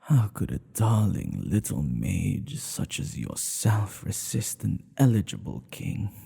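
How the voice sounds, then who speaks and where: A man acting as an older king in an intimate ASMR roleplay, tender and teasing with his secret lover. His voice is low and mellow with a gravelly fry. He phrases things in a courtly, regal way, breathy and unhurried. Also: big pitch swings, exaggerated voice. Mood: flirtatious, teasing. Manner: courtly formal phrasing, flirtatious and playful.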